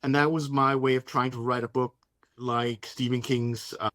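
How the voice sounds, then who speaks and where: A man's voice: medium pitch, flat delivery, normal speed, fairly bright tone, no room sound, clean studio sound. A man from Denmark, a native Danish speaker, talking casually in English as an author being interviewed. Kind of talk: casual conversation.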